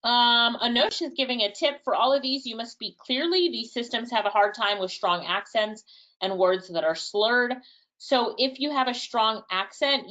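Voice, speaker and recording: A woman's voice: fairly high pitch, fairly bright tone, muffled recording. A woman with a Jamaican accent presenting a live online workshop, casually answering chat questions and thinking aloud. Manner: casual, chatty, thinks aloud, laughs lightly. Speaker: webinar presenter.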